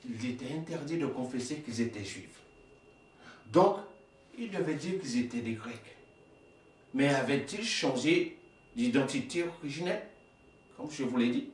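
A man's voice: medium pitch, expressive, a little slow, long pauses. A man in his forties preaching in a small indoor worship room, reading passages aloud and explaining them with rhetorical questions, sometimes stumbling and correcting himself. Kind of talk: sermon reading and explaining texts. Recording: echoey room, voice from a distance.

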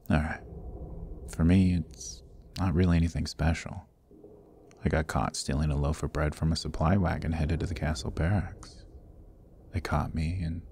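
A man's voice: extremely low pitch, gravelly, warm tone, a little hiss or hum, heavily acted. A man playing a sardonic, roguish jailed thief in a fantasy ASMR roleplay, with a wry, teasing delivery that often drops toward a murmur. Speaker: audio roleplay voice actor.

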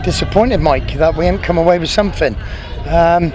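A man in his thirties with an English accent, a football manager speaking frankly after a match, repeating himself and restarting phrases, recorded pitchside in an outdoor stadium. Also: brisk, over music. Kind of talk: post-match interview. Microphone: handheld interviewer mic, medium framing. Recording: outdoor noise and passing traffic.

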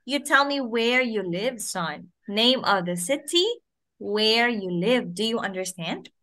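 Slow, animated young woman teacher on a call. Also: on the high side. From Philippines. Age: 20s. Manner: slow, sing-song modelling of phrases for a young learner. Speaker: online English teacher. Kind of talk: teaching a child on a video call. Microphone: video call.

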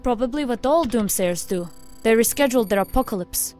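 An actress playing a brisk, skeptical, factual female agent in an audio drama. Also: fairly high pitch, lively intonation, a little mellow, soft background music, natural voice.

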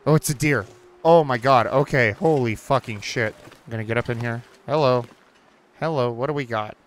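A young man giving casual, chatty commentary over a survival game, reacting out loud and swearing now and then. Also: mid-range voice, moderate pace, a little hiss or hum. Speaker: gaming channel host. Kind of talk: casual let's-play commentary. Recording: faint game ambience behind.

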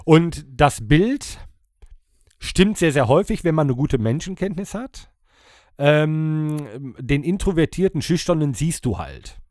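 Higher-pitched, lively man chatting. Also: measured pace, no echo, studio quality. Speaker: podcast host. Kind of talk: casual chat among bandmates.

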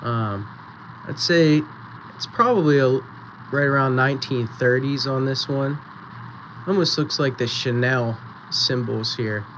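A man hosting a live-streamed auction, chatting casually and counting down bids, with a voice going raspy. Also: medium pitch, a little slow, fairly bright tone, slight background noise, low-quality recording. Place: small indoor photo booth setup.

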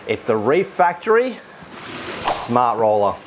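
A man's voice: medium pitch, big pitch swings, slow pace. An Australian man hosting a product unboxing. He talks with enthusiasm and often restarts his sentences with fillers. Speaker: aquarium shop host.

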